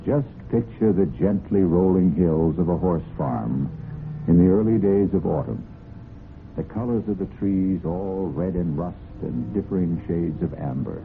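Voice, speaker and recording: A man narrates as host of an old radio mystery show. His voice is deep and gravelly, his delivery deliberate and theatrical. It comes through a narrow, phone-like vintage broadcast sound. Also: background noise.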